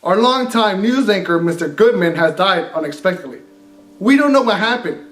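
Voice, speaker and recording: A man's voice: sits high, animated, normal speed, a bit bright. A man playing a formal, apologetic male news anchor making a mock-solemn announcement in a comedy skit. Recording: roomy echo under clear music.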